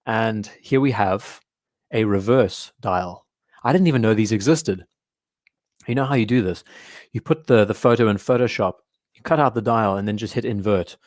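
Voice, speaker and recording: A young man talks casually through a live stream with a hoarse, strained voice, now and then clearing his throat. His voice sits somewhat low. The sound is close and dry. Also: normal speed, a little mellow, no echo. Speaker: host of a live watch show. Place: at home.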